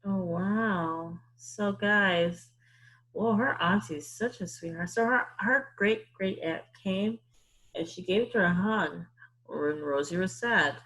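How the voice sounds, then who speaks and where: A woman's voice: medium pitch, slow, a bit bright, breathy edge, low-quality recording. A woman hosting storytime, chatting casually and encouragingly to children and repeating words for emphasis. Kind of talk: casual explanatory talk to children.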